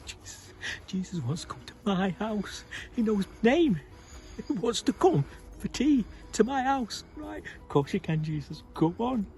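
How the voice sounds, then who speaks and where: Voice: mid-range voice, expressive, normal speed, vocal fry, few pauses, warm tone, voice pushed up. Zacchaeus, a flustered little man, as a storyteller puts him on. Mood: overjoyed. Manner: flustered, breathless and apologetic. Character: excitable, clumsy little tax collector man.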